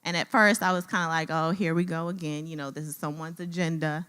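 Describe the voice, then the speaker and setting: A woman from a nonprofit giving a conversational comment at a conference, with a loud voice and frequent filler words. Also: low voice, fairly even intonation, fairly quick, smooth. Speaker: nonprofit staffer. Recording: reverberant conference hall.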